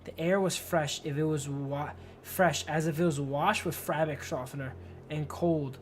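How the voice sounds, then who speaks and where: A man's voice: fairly high pitch, steady tone, a little slow, slight background noise. A man livestreaming a game, reading its story text aloud and chatting in slang, stumbling over long words. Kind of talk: narrating game text, casual chat with viewers.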